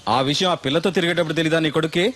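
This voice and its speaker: An actor playing a bossy, impatient village elder in a film comedy, sternly scolding. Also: male voice, on the high side, rapid-fire, a bit bright, slight background noise, lightly acted. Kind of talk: Telugu film comedy acting.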